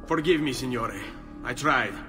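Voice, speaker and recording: A man's voice: medium pitch, normal speed, lightly acted. An actor playing an earnest, determined young nobleman turned assassin in a video game, with an Italian accent. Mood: remorseful. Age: young adult. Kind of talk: video game cutscene acting. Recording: long reverb, clear music behind.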